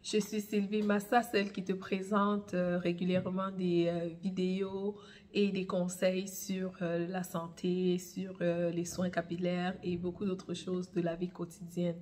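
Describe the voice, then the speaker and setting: A woman in her thirties with a slight cold, hosting an advice vlog in a measured, emphatic way and pausing to search for words. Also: fairly low pitch, level tone, darkish tone, a little hiss or hum. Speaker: host of a natural health and hair care channel. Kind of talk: explanatory advice vlog addressed to the viewer. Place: small room at home, indoors.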